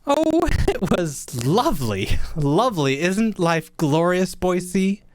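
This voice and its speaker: A woman's voice: on the low side, sing-song, slow, a little mellow, no room sound. A woman playing a teasing, romantic young lady from a wealthy family in a radio play, with a coy, dramatic manner. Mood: giddy, delighted. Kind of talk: old-fashioned radio play acting.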